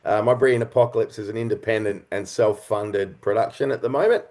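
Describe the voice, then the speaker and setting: A man speaking as an interview guest, a writer and creator talking reflectively and expressively in halting, conversational phrases. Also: fairly low pitch, a little mellow.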